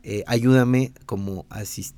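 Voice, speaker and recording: A man's voice: medium pitch, fairly even intonation, slow pace, dark tone. A Mexican man in his thirties with a Mexican accent, a designer and teacher chatting casually in an interview, rambling with many fillers and restarts.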